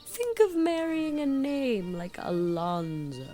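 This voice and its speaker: A woman's voice: high-pitched, very animated, slow pace, dark tone, soft background music, heavily acted. A woman voicing a chattering, fun-loving girl of eighteen in a dramatized audiobook, gushing and playfully dramatic. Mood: chatty. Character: giddy, flighty 18-year-old girl, fond of fun.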